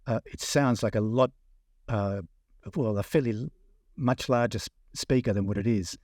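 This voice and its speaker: A man's voice: lowish voice, moderate pace, darkish tone, dry recording, studio quality. A man interviewing in a conversational style, rephrasing his questions as he goes. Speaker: interviewer, audio magazine editor.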